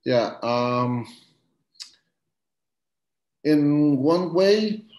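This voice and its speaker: A man from Guatemala, a coffee farmer, answering interview questions over a video call in halting English with many fillers. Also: on the high side, animated, a little mellow, echoey room. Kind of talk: live-stream interview answers.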